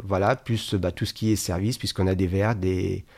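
A man from Angers speaks in a fairly low voice. He talks spontaneously in an interview and hesitates often, filling pauses and restarting his sentences as he explains his collection. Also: steady tone, creaky, a little mellow. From France, Angers. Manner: hesitant, many fillers and restarts. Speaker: museum founder, association member. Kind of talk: radio interview, spontaneous speech.

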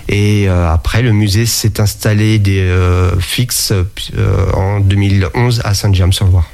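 Hesitant low-voiced man interviewed. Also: fairly even intonation, unhurried, gravelly, darkish tone. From France. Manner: hesitant, many fillers and restarts. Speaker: interviewee, sommelier and museum founder. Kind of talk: casual interview talk.

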